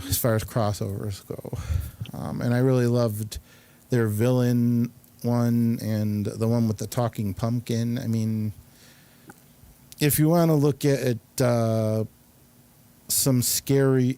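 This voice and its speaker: A man hosting a casual talk show, speaking in a halting but enthusiastic, rambling way. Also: lowish voice, warm tone, smooth. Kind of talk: casual rambling round-table chat.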